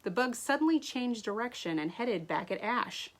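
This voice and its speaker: A woman author reading her own story aloud in an animated way, now and then stumbling and rereading. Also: fairly high pitch, moderate pace. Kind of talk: expressive read-aloud storytelling.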